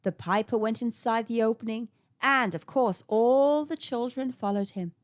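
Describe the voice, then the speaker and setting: A woman reading a children's story aloud in an expressive storytelling manner. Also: fairly high pitch, normal speed. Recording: dull lo-fi recording.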